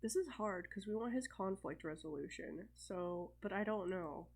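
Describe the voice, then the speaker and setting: A young woman with a stuffed-up cold, casually commentating her gameplay in a chatty, think-aloud way with fillers and soft laughs. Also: mid-range voice, moderate pace. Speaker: let's play host.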